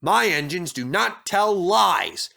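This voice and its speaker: A middle-aged railway controller from a children's storybook, voiced by a man. He speaks pompously and deliberately in a bright, forceful voice, stern and authoritative. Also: high-pitched, expressive, gravelly, voice pushed up, exaggerated voice. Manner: severe, deliberate.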